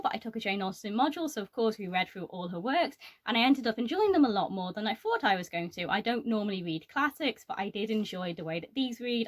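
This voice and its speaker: A young woman giving her opinions on books in a casual, explanatory chat to camera. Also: medium pitch. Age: young adult. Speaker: book reviewer.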